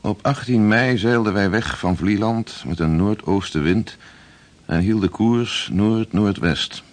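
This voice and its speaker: A man in a radio play acting a gentle, plain-spoken seaman who chronicles a voyage. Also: lowish voice, measured pace, close to an everyday voice. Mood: measured, narrating.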